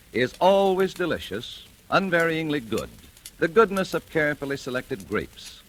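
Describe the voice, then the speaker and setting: Male vintage announcer, persuasive, lilting pitch, faint hiss. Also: a bit bright. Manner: smooth, persuasive, measured. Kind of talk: 1940s radio ad read. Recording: noisy old radio broadcast.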